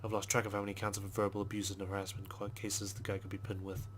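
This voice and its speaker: A man reading visual novel text aloud as a let's player, in a measured, understated storytelling manner with brief asides. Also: on the low side, completely flat delivery, a little hiss or hum. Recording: music behind the voice. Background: game music.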